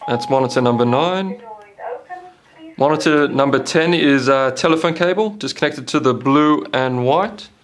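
A man's voice: medium pitch, moderate pace. A man giving an instructional product demonstration as a company presenter. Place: small indoor meeting room. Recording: clearly noisy room recording.

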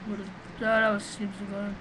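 A man with a thin, high-pitched voice chatters through a game in a rambling, excitable way, swearing freely. It sounds like a cheap webcam mic with a clear layer of noise under it. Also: fast. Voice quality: high, small voice. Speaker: gamer doing a let's play. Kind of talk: rambling gameplay commentary. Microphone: webcam microphone. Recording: noisy home recording.

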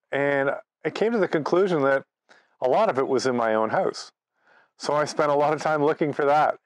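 A man's voice: medium pitch, dry recording. A man, giving an informal presentation as a conversational, anecdotal storyteller. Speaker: amateur radio presenter. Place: outdoors by trees at a cottage.

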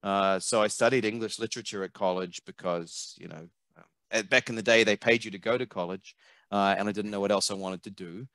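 A middle-aged man with a New Zealand accent, a marketing consultant speaking as an interview guest over a headset on a video call, animated and emphatic, often repeating words as he thinks. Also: lowish voice, a little fast, no echo. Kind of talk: conversational interview. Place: home office, video call. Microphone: headset mic.

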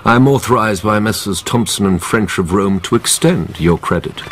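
A man's voice: lowish voice, moderate pace, a bit bright, slight background noise, lightly acted. An actor playing a composed, businesslike nobleman in a radio drama, formal and assured.

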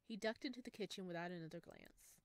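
A woman streaming a visual novel, reading narration aloud and reacting in an expressive, gushing way. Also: on the low side, fast talker, dry recording. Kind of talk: game playthrough with read-aloud narration and excited reactions.

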